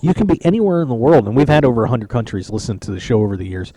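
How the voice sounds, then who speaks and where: A man's voice: mid-range voice, sing-song, very fast, dark tone, a little hiss or hum, low-quality recording. A man hosting a poker radio show, chatting casually and joking from a lounge at a poker tournament. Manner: chatty, joking, rambling with restarts. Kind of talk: casual live-stream chat.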